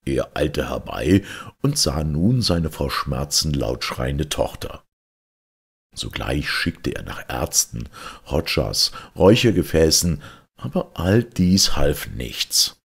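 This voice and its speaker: A man reading a fairy tale as a storyteller, in a dramatic, expressive and deliberate manner. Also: rumbling low, vocal fry, slightly rough.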